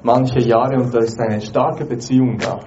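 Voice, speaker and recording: A man with a mellow, warm tone interprets a sermon live, closely following each phrase. The sound is dull and lo-fi, with a faint hiss in the background. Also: mid-range voice, steady tone, normal speed.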